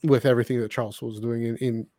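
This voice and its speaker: A man hosting a podcast in casual conversation, talking in a halting, rambling way and often repeating his words. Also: mid-range voice, flat delivery, mellow, no echo. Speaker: podcast host. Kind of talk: casual chat, discussing books and comics.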